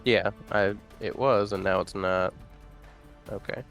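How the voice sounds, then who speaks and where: Male player, low-ish voice, hesitant casual chatter, light music behind. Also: steady tone, a little slow, gravelly, a bit bright. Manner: casual, hesitant, many fillers. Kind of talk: casual chat at a game table.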